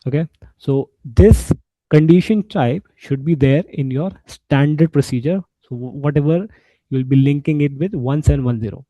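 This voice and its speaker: A man giving an informal software walkthrough, explaining step by step in a halting way. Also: a little high, no room sound, rough recording. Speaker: SAP instructor.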